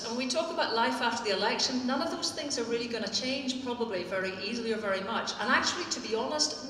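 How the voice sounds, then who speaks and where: A woman's voice: on the high side, a bit bright, far from the mic, a little hiss or hum. Northern Irish woman speaking in an echoey hall. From Northern Ireland. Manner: witty, conversational, pointed. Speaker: speaker at a live political event. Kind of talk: live public talk to an audience. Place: theatre hall.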